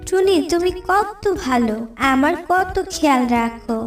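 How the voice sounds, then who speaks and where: A woman's voice: very high-pitched, lively intonation, unhurried. A woman doing an eager, greedy young crow. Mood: delighted. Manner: eager, pleading. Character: greedy young female crow with a sweet tooth. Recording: echoey, distant mic, clear music bed.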